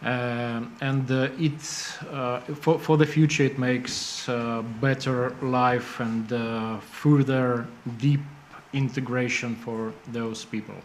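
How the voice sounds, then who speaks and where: A man speaking as a panelist, halting with frequent fillers and restarts. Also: mid-range voice, steady tone, a little hiss or hum. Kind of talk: panel discussion.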